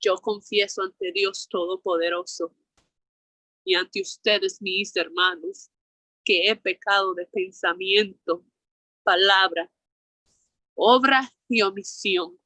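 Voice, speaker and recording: A woman performing a bilingual Shakespeare monologue outdoors as a remorseful general facing death, in a measured, solemn delivery. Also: mid-range voice, lively intonation, sharp tone, no room sound, low-quality recording. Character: man, a remorseful general confessing before death.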